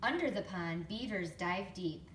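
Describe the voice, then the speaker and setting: A woman reading a picture book to young children expressively, pausing to explain things and ask them questions. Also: mid-range voice, lively intonation, fairly bright tone. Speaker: storytime reader, teacher to young children. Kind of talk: picture book read-aloud with chatty asides. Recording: roomy, distant mic, faint background noise.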